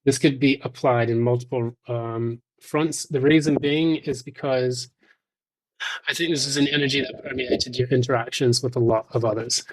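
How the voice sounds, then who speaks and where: Male tarot reader, mid-range voice, unscripted and explanatory, measured delivery that pauses often between thoughts. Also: medium pitch, steady tone, poor audio. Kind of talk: intuitive tarot reading, addressed to the listener.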